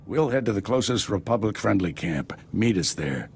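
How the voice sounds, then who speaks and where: Jedi master: very low, creaky, battle-worn, dryly commanding game hero mentor. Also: male voice, sing-song, moderate pace, slight background noise. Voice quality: gravelly. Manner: dry, wry, commanding. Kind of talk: video game cutscene acting.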